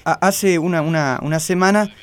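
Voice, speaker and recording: A man acts out an insecure, lovelorn Argentine client in a radio comedy. His voice sits a little high for a man, and he stutters heavily, halting and repeating words as he nervously explains himself. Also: clear, resonant tone, natural voice. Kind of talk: comic radio play acting.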